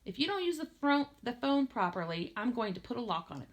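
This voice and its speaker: A woman's voice: fairly high pitch, sing-song, fast, pitched above the performer's own voice. A woman reading the part of an angry, bellowing father who scolds his teenage son in a storybook. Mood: indignant. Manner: indignant, scolding.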